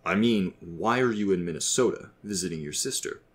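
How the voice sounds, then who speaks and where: Man as a dry, low-voiced ghostly father. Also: fairly quick, lightly acted. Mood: probing. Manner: dry, unruffled, teasing. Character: nonchalant, needling ghost of a dead father. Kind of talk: audiobook character dialogue.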